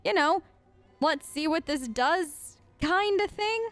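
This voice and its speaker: A woman with a high voice, recorded close and dry. She role-plays her game character as a let's play host, talking in a casual, rambling, hesitant way. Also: lively intonation, slow pace, fairly bright tone, no echo, soft background music. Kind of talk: improvised in-character game dialogue, casual chat.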